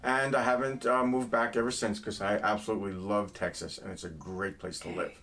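A man's voice: fairly low pitch, fairly even intonation, fairly quick, a bit bright. A middle-aged man from New York with a New York accent, chatting casually as a guest and telling stories with jokes. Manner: storytelling with jokes. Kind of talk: casual chat.

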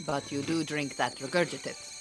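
An actress plays an Iranian refugee woman, a patient and earnest beekeeper in an audio play. Her voice sits low for a woman. She phrases things in a measured, dry way, with music playing faintly behind her. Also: steady tone, lightly acted, low-quality recording. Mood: playful, hospitable. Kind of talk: naturalistic audio drama dialogue.

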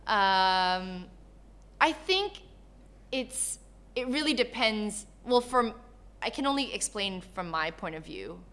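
Woman chatting candidly as a guest, bright clear-cut voice, tells stuff in an animated way. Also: mid-range voice, slow. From born in Malaysia, grew up in Canada. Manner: animated storyteller, acts out quoted dialogue. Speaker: interview guest. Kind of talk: candid casual conversation.

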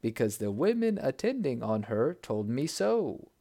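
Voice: mid-range voice, very animated, a little slow, gravelly, mellow, full character voice. A reader putting on a regal, emphatic sultan. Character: commanding older male sultan.

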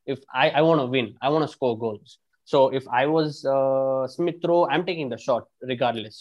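A man's voice: medium pitch, highs cut off. A man, a football fan, arguing his side in a casual podcast chat, restarting sentences often and swearing casually. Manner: animated, frequent restarts and fillers, swears casually.

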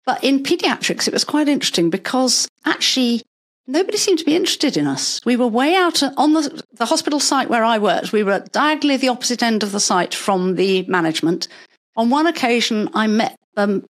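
An older woman, a retired paediatrician, talking through her working life in a measured, explanatory way, with stories along the way. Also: fairly high pitch, lively intonation. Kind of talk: conversational interview.

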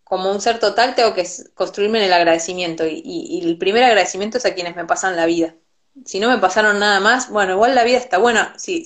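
A woman from Argentina with an Argentine accent, a guest explaining ideas in a reflective, conversational way and often restarting her words. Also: medium pitch. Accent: Argentine Spanish. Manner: thoughtful, repeats words when restarting. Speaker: Ayurveda teacher, guest. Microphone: video call.

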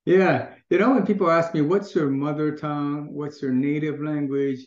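A man's voice: fairly high pitch, fairly even intonation, normal speed, darkish tone. A middle-aged man from Taiwan, talking in a casual interview in a thoughtful way, with many restarts and easy laughs. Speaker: guest. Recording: echoey room, dull lo-fi sound.

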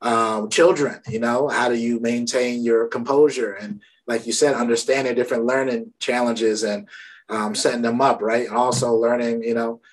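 A man from Brooklyn in his thirties, hosting a conversation. His voice sits at an average pitch, and he talks in a loose, laid-back way, tossing in "you know" and "right?" as he goes. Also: steady tone, moderate pace, rough recording. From Brooklyn, New York. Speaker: podcast host. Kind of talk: casual interview chat.

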